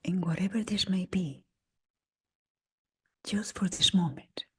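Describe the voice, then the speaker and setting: A woman leading a guided meditation in a breathy, husky near-whisper, speaking gently in short phrases with long pauses. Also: lowish voice. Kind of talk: slow guided meditation talk.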